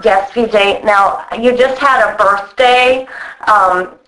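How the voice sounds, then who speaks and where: A woman's voice: mid-range voice, slow pace, bright, forceful tone, rough recording. A woman asking questions in a casual phone interview, in an informal, chatty way.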